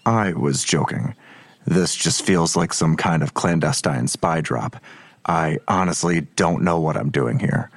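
An actor playing a weary, sardonic police detective in an audio drama, with a dry, blunt, skeptical delivery. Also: male voice, extremely low pitch, a little slow, gravelly, no echo, full character voice. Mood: dry, uneasy. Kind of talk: naturalistic audio drama acting.

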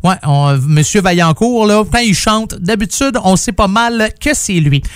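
A man with a youthful, high-pitched voice and a Québécois French accent, chatting informally and enthusiastically about music as a show host. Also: lively intonation, measured pace, clear, resonant tone, dry recording, studio quality. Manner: informal, self-deprecating, enthusiastic. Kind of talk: casual chat about music.

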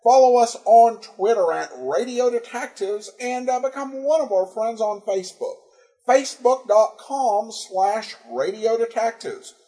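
A man's voice: very high-pitched, expressive, a little slow, dark tone, poor audio. A man with an American accent hosting a podcast, giving informal introductions and announcements. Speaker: podcast host.

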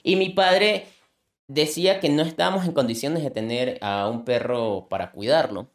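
A man's voice: medium pitch, moderate pace. Earnest young man. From Ecuador, coastal Manta. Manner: earnest, heartfelt, repeats himself when emotional. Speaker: animal rescuer and content creator. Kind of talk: personal storytelling in an interview.

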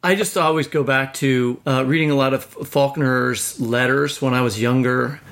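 Male co-host for a relaxed talk podcast, average pitch, conversational. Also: normal speed.

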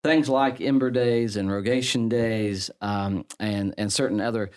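A middle-aged man with an English Midlands accent, a priest explaining things in relaxed conversation, full of fillers and restarts. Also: lowish voice, a little slow, smooth. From England. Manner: chatty, many fillers and restarts, laughs easily. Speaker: priest guest.

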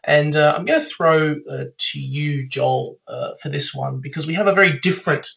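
A man's voice: on the high side, moderate pace, creaky, fairly bright tone, lo-fi sound. A man hosting a podcast, upbeat and enthusiastic with frequent fillers. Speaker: podcast host. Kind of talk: casual podcast chat.

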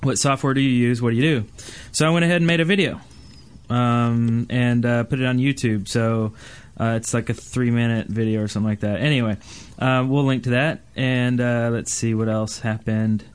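A man's voice: medium pitch, fairly even intonation, normal speed, clear, resonant tone. A man hosting a casual group podcast in a loose, hesitant, improvised way. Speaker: podcast host.

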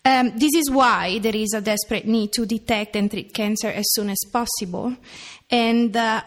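A woman's voice: a little high, moderate pace. A woman with a strong Italian accent, a clinician explaining things carefully in a podcast interview, with some restarts. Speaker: veterinary oncology clinician.

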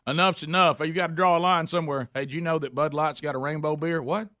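A man's voice: on the high side, steady tone, fast, pushed and bright, no room sound, studio quality. A man, hosting a radio talk show in a joking, folksy way with plenty of fillers. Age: 50s. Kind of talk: casual radio banter.

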